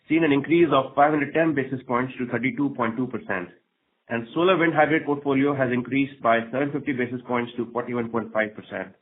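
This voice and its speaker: A man's voice: medium pitch, slight background noise. Man presenting results over tinny phone line. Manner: formal, reading prepared remarks. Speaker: company executive presenting quarterly results. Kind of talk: scripted earnings call presentation. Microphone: conference call phone line.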